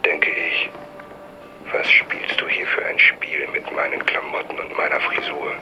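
An actor playing a brooding, watchful journalist narrating his inner thoughts in a breathy, gravelly near-whisper in a radio drama. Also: extremely low pitch, level tone, measured pace, poor audio. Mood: brooding, wary.

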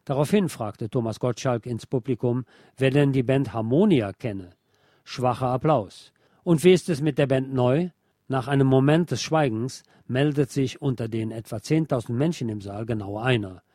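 A man reads a nonfiction book as a narrator, in a measured, formal way. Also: mid-range voice, dark tone, dry recording, studio quality. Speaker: narrator and audiobook reader of a radio programme.

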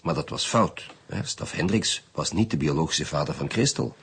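An actor playing a commanding Flemish chief detective, direct and questioning, in a radio drama. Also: male voice, very deep voice, lively intonation, normal speed, gravelly, a little hiss or hum, exaggerated voice. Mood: matter-of-fact. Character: commanding, probing male chief police detective.

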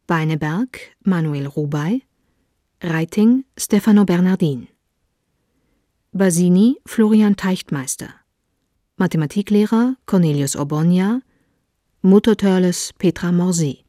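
Slow, dark-voiced woman announcer. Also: fairly low pitch, dry recording, clean studio sound. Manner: measured, precise. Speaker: radio play announcer. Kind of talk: formal scripted announcement of title and credits. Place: dry studio.

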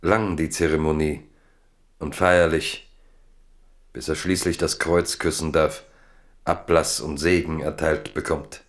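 Deep-voiced male narrator. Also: little pitch movement, slow pace, pauses often. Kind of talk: dramatic literary narration in a German radio play.

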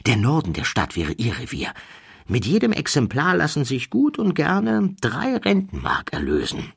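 Man playing a wheedling, high-pitched eccentric baron. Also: huge pitch swings, moderate pace, creaky, husky, heavily acted. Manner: theatrical, persuasive, grandly confident. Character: eccentric down-at-heel self-made baron, wheedling and self-assured.

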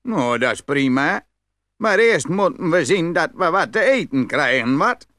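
A man's voice: a little high, very animated, unhurried, bright, forceful tone, no room sound, clean studio sound, exaggerated voice. A man playing a bossy, blustery, hearty farmer in a fairy tale audio play.

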